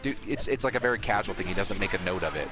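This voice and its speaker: Low-pitched man, casual rambling gaming chat, loud game music behind. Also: animated, very fast. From Canada. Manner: rambling, with many restarts and fillers. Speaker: podcast co-host. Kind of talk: casual chat while playing a video game.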